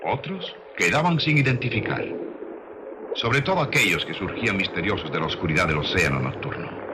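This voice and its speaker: A man reading scripted documentary narration with measured, dramatic storytelling delivery, on an old film soundtrack. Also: deep voice, lively intonation, heavy background noise.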